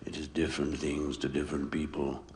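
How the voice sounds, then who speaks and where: An actor playing a sinister, ghoulish host in a 1960s radio comedy horror parody, slow, ominous and melodramatic. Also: male voice, rumbling low, monotone, a little mellow. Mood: ominous, theatrical. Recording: noisy 1960s radio broadcast.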